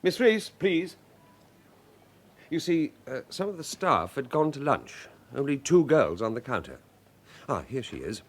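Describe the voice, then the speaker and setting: An actor playing a shaken, apologetic bank manager in a radio drama, hesitant and polite. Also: male voice, medium pitch, big pitch swings, a little slow, pauses often. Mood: shaken, flustered. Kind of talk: British radio drama acting.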